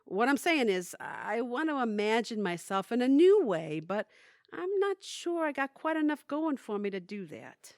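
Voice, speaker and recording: A woman's voice: a little high, very animated, normal speed, no room sound, studio quality. An actress playing a plain-spoken, wry, hesitant woman who longs to be braver, in an audio play. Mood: nervous confessing. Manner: folksy, candid, wry. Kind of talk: audio theatre acting.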